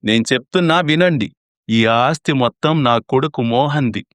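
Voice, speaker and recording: A man's voice: medium pitch, moderate pace, a bit bright, no room sound, clean studio sound, natural voice. A man playing a stern, blunt elderly father in a story, with a commanding, reproachful manner.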